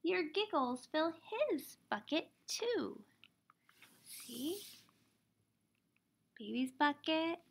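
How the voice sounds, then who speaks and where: Thirties teacher lady reading a picture book to small kids, really high voice, expressive, explains stuff simply. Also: extremely slow, creaky, long pauses. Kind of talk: children's storybook read-aloud.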